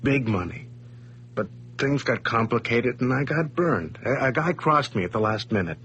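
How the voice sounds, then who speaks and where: A man acts out a jittery radio-play schemer caught at gunpoint, stammering and evasive in a middle-pitched voice. A faint hum runs under the old recording. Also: animated, normal speed, a bit bright, dull audio, natural voice. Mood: nervous. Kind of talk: 1980s radio drama acting.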